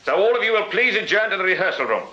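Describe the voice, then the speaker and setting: An actor playing a shrewd Victorian police sergeant in a radio drama, speaking formally and with insistent authority. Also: male voice, high voice, sing-song, racing, bright, forceful tone, dull audio, exaggerated voice. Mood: stern, urgent. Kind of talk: full-cast radio drama acting.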